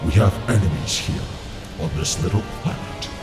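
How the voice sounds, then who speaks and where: A man's voice: low voice, moderate pace, close to an everyday voice. A man playing a villainous, scheming robot warlord in a comic dub, with a theatrical, gloating delivery. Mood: grandiose menace. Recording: echoey, loud music underneath. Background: loud music.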